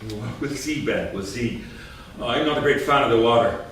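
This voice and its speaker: A man's voice: medium pitch, normal speed, a bit bright, a little hiss or hum, lightly acted. Man playing a hesitant, stammering Irishman in an echoey hall. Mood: reluctant. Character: Samuel, nervous middle-class Dublin businessman, cautious husband and father. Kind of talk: staged play reading. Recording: echoey theatre from far off, music.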